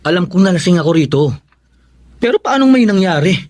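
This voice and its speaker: Fast-talking, evasive young man. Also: high-pitched, lively intonation, darkish tone, dry recording, studio quality. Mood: defensive, disbelieving. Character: evasive, defensive young man cornered by an admirer. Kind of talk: Filipino radio drama acting.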